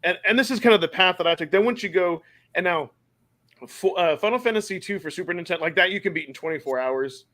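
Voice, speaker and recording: A man with a somewhat higher voice hosting a casual podcast. He rambles, breaks off and restarts his sentences. The tone is loose and conversational. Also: fast, pushed and bright. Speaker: podcast host. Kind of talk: casual chat.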